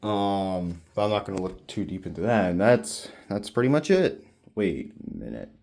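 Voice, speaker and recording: A man hosting a casual, unscripted hobby demonstration, mumbling haltingly to himself and swearing now and then into a handheld camera. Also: sits low, big pitch swings, creaky, darkish tone. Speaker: hobbyist video host.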